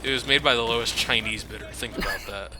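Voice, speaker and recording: A man's voice: mid-range voice, fast talker, heavy background noise. A man giving casual, sardonic video game commentary with some swearing.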